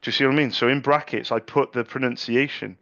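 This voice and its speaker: Man, middle-aged salesman, chatty, stumbling restarts, mid-pitched voice, dull lo-fi sound. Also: fairly even intonation, quick pace, vocal fry, a bit bright, no room sound. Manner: chatty, repeats words, restarts sentences. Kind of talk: casual sales phone call.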